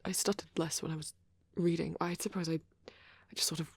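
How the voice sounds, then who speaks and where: A woman playing a shy, nervous vampire boy in a soft-spoken ASMR roleplay, with a hesitant, rambling, stammering delivery and a husky voice. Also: low voice, fairly even intonation, a little fast, no room sound, exaggerated voice. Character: shy, nervous young male vampire on a date.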